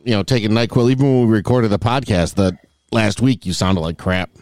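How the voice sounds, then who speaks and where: A man's voice: on the low side, brisk, a little mellow, dry recording, clean studio sound. A man co-hosting a casual podcast, sarcastic and blunt, swearing freely. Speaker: podcast co-host.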